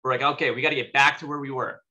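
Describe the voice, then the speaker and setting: A man's voice: mid-range voice, little pitch movement, rapid-fire, sharp tone. A young man gives a motivational speech at a sales meeting, talking casually with many fillers and sounding a little sniffly from allergies. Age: young adult. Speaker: guest speaker at a sales meeting. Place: meeting room. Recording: dull lo-fi recording.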